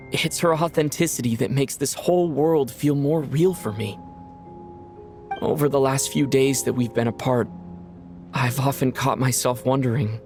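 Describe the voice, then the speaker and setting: An actor playing a reflective young male traveler in a video game, speaking quietly and introspectively. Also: mid-range voice, natural voice. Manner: quiet, introspective, even-toned.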